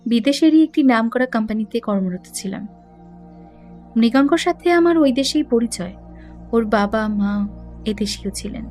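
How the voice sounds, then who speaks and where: A woman playing an educated young heiress in an audio drama, recounting her troubles in a measured, confiding way. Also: fairly high pitch, a little mellow, natural voice. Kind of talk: Bengali audio drama acting.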